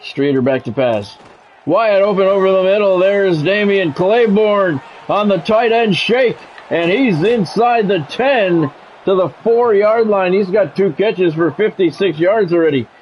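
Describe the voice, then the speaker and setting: Higher-pitched male football play-by-play announcer. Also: measured pace, muffled recording, slight background noise. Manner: short clipped play-by-play phrases. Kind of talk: American football game commentary.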